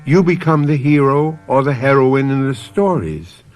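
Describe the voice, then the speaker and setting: A man hosting a radio mystery drama, introducing the story in a deliberate, scripted storytelling manner. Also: mid-range voice, darkish tone.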